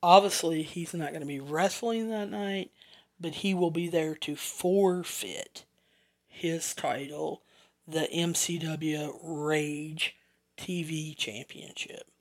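Southern-accented solo podcaster, unhurried, wanders into side chatter, corrects themselves. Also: mid-range voice, fairly even intonation. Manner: rambling, chatty asides, self-corrections. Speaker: podcast host. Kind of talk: casual solo podcast rundown.

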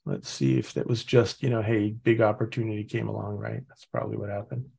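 A man's voice: lowish voice, animated, a little fast, gravelly, a little mellow, slightly rough. A man from Norway, hosting a podcast in casual chat, thinking aloud as he reads through material with frequent restarts. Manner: thinks aloud, reading and musing with many restarts. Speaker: podcast host. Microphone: remote call setup.

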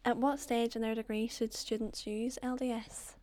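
A woman's voice: on the high side, flat delivery, moderate pace, warm tone, dry recording. A woman interviewer asking brief, polite questions.

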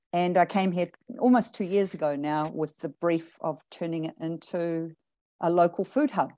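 A middle-aged New woman with a low voice and a mellow tone tells her story in a reflective way. The recording is dry and close. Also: dry recording. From New Zealand. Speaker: farm manager and farm coach. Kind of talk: podcast interview.

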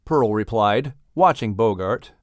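A man's voice: lowish voice, expressive, a little slow, no room sound, studio quality. A man narrating a scripted children's audiobook as the storyteller. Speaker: narrator and announcer.

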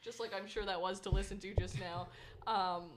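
A young woman co-hosting a podcast, chatting casually in an animated, teasing way and laughing often. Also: mid-range voice, moderate pace, heavy background noise. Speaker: podcast co-host. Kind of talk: casual chat.

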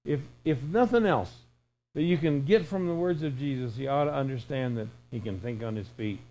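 A man's voice: medium pitch, normal speed, dark tone, rough recording. A man in his sixties preaching an informal, conversational sermon in a folksy way, with emphatic repetition and imagined dialogue. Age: 60s.

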